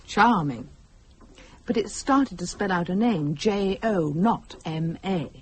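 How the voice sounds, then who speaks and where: An actress playing an uneasy, genteel young British wife with clipped, expressive speech in a radio thriller. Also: female voice, medium pitch, slow, slight background noise. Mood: irritated, uneasy. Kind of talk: radio thriller drama dialogue.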